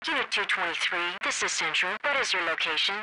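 An actor playing a police dispatcher in a video game, giving clipped, procedural calls over police radio. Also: male voice, sits high, lively intonation, brisk. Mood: businesslike. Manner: clipped, formulaic radio delivery. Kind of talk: scripted police radio chatter. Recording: noisy police radio channel.

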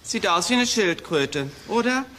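A woman's voice: mid-range voice, animated, unhurried, heavy background noise, close to an everyday voice. A woman playing a snappy, sarcastic film make-up artist in a children's radio drama, theatrical and condescending. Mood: dryly fussy.

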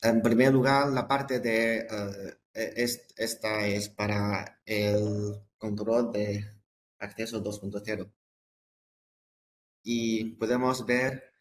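Low-voiced man giving a halting presentation. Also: slight background noise. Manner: halting, hesitant delivery with repeated words and broken phrases. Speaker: product presenter. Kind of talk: webinar product presentation.

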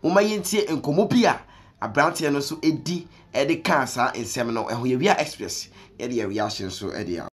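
A man's voice: mid-range voice, lively intonation, moderate pace. A young man with a Ghanaian accent, hosting reaction commentary and mixing Twi with English in a conversational way. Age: young adult. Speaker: online show host. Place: small room indoors.

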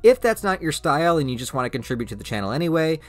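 A man's voice: fairly high pitch, big pitch swings, rapid-fire. A man hosting a YouTube channel, giving an informal, encouraging scripted promo narration. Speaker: YouTube reviewer and channel host. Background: faint music.

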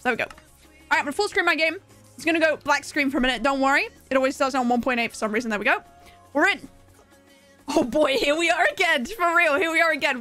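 English girl streaming minecraft, high voice, talks super fast, lots of giggles, bit of music behind. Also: bright, forceful tone. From England. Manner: fast, bubbly, laughs often. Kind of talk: casual live-stream chat.

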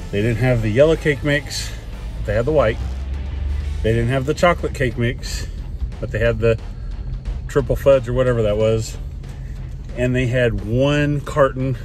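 A man's voice: medium pitch, unhurried, pauses often, a little mellow, faint music behind. A man in his forties with an American accent, chatting casually and thinking aloud as he vlogs from inside a car. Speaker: vlogger. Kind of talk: casual vlog chat. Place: inside a car while driving. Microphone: camera or phone on the dashboard.

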